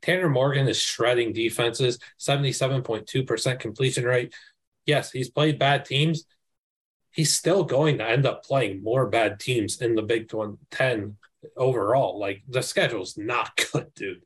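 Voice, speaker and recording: A man giving his college football picks in a loose, podcast-style chat. His delivery is emphatic and excitable, with a middle-range voice and plenty of casual slang. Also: medium pitch, measured pace, slightly rough.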